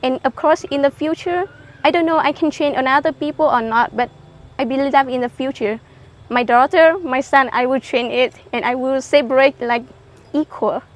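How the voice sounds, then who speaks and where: Young woman, high voice, simple english, keeps saying yes. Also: steady tone, moderate pace. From Vietnam. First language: Hmong. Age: young adult. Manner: simple learner English, frequent affirming yeses. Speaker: interview guest. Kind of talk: casual interview chat.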